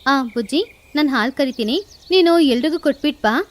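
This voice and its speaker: Woman as a calm, caring mother sparrow. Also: sits high, normal speed. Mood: calm motherly.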